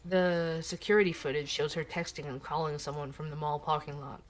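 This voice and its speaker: A woman playing a composed investigative journalist in an audio drama, asking measured, probing questions. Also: low voice, steady tone, close to an everyday voice. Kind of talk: audio drama acting as podcast interview.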